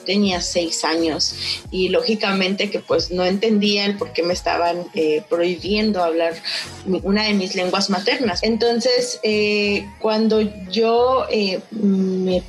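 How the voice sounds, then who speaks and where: A woman's voice: medium pitch, fairly even intonation, measured pace, soft background music, poor audio. A young Mexican woman with a Mexican Spanish accent, telling her personal story as an interview guest in an earnest, reflective way, with frequent hesitations. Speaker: guest, founder of a social initiative.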